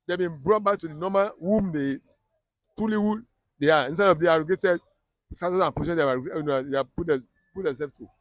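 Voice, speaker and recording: A man's voice: fairly high pitch, brisk, no echo. A man with a Yoruba Nigerian accent giving heated political commentary, emphatic and repetitive, often restarting his sentences.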